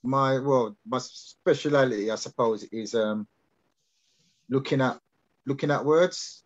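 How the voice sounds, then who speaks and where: A man's voice: mid-range voice, slow pace, muffled recording. A man explaining his ideas on a video call, hesitant with many restarts and fillers. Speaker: guest speaker.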